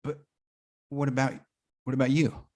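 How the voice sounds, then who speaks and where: A man's voice: mid-range voice, little pitch movement, darkish tone, close to an everyday voice. A man playing an awkward, defiant guy turning dog-like, stammering in a comedy table read. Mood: defensive. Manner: stammering, halting.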